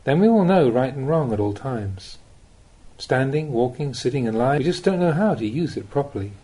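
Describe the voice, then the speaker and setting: A man reading a spiritual teaching aloud as an audiobook, with measured, deliberate phrasing and pauses. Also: mid-range voice, animated, dark tone, husky. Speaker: Buddhist monk reading recorded teachings. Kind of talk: audiobook reading of a Dhamma talk.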